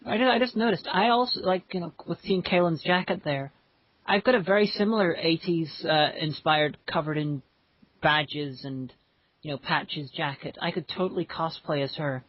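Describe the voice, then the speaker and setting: A woman's voice: low-pitched, normal speed, no room sound. A woman in her late twenties chatting and joking in a rambling way during a group commentary. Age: 20s. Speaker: commentary host. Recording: dull lo-fi online call audio.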